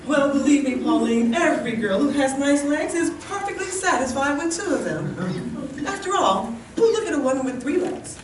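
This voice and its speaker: Woman doing a sassy self-assured radio actress, high lively voice, noisy far mic. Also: moderate pace. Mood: dry, self-assured. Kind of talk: old-time radio comedy acting on stage. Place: live stage performance of a radio show. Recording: echoey stage, distant mic, noisy.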